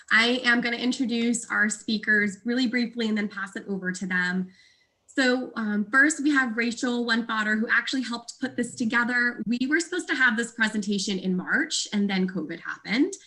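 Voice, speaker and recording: Bright-voiced woman moderating a webinar. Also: a little high, moderate pace. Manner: warm, enthusiastic welcome. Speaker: event moderator. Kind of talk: introducing speakers.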